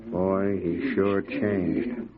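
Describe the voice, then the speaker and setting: Low-voiced, nervous outlaw henchman. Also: drawn-out words, a loud crowd. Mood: uneasy, warning. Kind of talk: 1950s radio drama acting. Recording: muffled narrow-band old radio recording.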